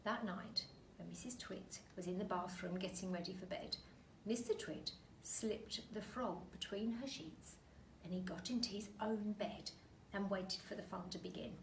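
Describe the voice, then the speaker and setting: A woman reading a children's story aloud like a teacher, expressively and with deliberate, dramatic emphasis. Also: mid-range voice, creaky. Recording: roomy echo, distant mic, faint noise.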